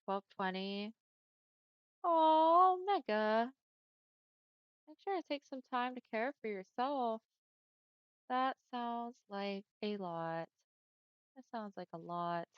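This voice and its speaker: A young woman streamer chatting casually with her viewers, friendly and often laughing, with a smooth voice on the deeper side for a woman. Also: expressive, takes it slowly, long pauses, no echo. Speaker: livestreamer. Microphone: headset mic. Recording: dull lo-fi headset mic.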